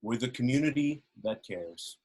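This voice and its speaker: A man playing a genial, pompous village dignitary in a script table read, formal and a little halting. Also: medium pitch, expressive, a little mellow, breathy edge.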